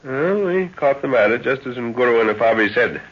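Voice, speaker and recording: A man's voice: mid-range voice, expressive, normal speed, fairly bright tone, breathy edge. An actor playing a middle-aged, fatherly professor and explorer, hearty and thoughtful, in an old radio drama. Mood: calm, thoughtful. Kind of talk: 1930s radio acting. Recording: muffled 1930s radio recording.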